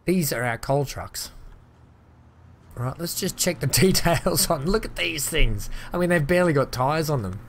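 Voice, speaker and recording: A slightly hoarse man chatting casually as he plays and narrates a transport-building game, thinking aloud with upbeat asides. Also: fairly high pitch, animated, moderate pace. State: slightly hoarse, losing his voice. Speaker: gaming channel host. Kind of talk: casual let's-play commentary.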